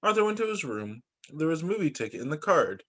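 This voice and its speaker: A man working as a teacher, reading children's storybooks aloud in an expressive way. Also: a little high, fast. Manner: expressive, acts out dialogue for young children.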